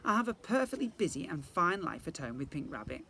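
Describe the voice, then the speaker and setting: A man voicing a reluctant, chatty young half-vampire fairy girl in a storybook, excitable and protesting. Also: on the high side, a little fast, gravelly, a little hiss or hum, exaggerated voice. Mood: protesting, pleading.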